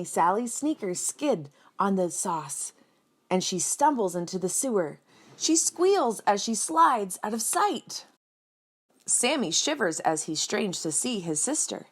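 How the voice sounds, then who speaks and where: A woman reading a picture book aloud for children in an expressive storytelling style, speaking directly and simply to young listeners with dramatic emphasis. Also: medium pitch. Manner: dramatic emphasis, acts out sound words. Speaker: children's read-aloud reader.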